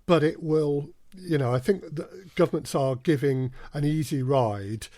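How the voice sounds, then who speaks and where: A man speaking as a podcast guest, explaining things in a measured way with hesitant repetitions. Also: on the high side, mellow, no room sound, clean studio sound. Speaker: guest, audio drama producer. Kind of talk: casual podcast interview.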